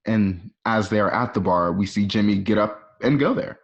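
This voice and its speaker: A man's voice: on the low side, moderate pace. A man reading scripted scene descriptions as the narrator of an amateur radio play. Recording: dull lo-fi home recording.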